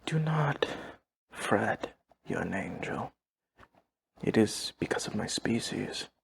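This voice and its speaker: Deadpan, gravelly vampire manservant. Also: male voice, lowish voice, full character voice. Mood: formal, composed. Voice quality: gravelly, breathy husk. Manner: formal, measured, deadpan, no contractions. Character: emotionless, courteous old vampire manservant, devoted to his mistress. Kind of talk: audio roleplay acting, addressing the listener.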